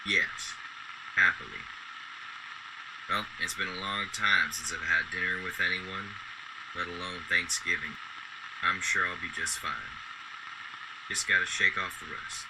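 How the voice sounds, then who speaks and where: A man playing a gentle, lonely, courteous man in an old-time radio drama, speaking in a polite, folksy way. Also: deep voice, steady tone, measured pace, pauses often. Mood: hopeful, musing. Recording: noisy background.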